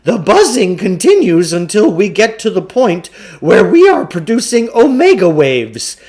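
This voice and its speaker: A man reading a children's novel, voicing a pompous, theatrical, eccentric salesman who lectures with deliberate self-importance. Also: sits high, huge pitch swings, a little mellow, heavily acted. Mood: grand lecturing. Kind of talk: children's novel read aloud in character.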